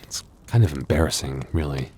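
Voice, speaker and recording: A man playing a gentle, anxious young werewolf boyfriend in an intimate audio roleplay, speaking softly and haltingly. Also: a bass voice, husky. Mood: playful, bashful. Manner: intimate, hesitant, soft-hearted, halting.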